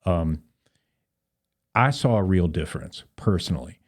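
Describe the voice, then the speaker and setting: A man speaking as a guest in a conversational interview, explaining things in an earnest, measured way. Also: deep voice, lively intonation. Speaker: podcast guest, Rotary club volunteer.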